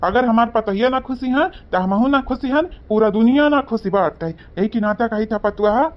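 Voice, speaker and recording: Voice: mid-range voice, normal speed, muffled recording, close to an everyday voice. An actor with an Awadhi accent voicing a doting village father-in-law in a comic cartoon, emphatic and repetitive. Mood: fond, insistent. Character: doting village father-in-law who praises his daughter-in-law.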